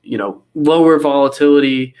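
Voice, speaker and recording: A man's voice: medium pitch, normal speed, a little mellow, clear, resonant tone. A young man with a cold and a nasal voice, explaining charts in a casual interview over a video call, full of fillers and restarts. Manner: rambling with many fillers and restarts. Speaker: guest analyst.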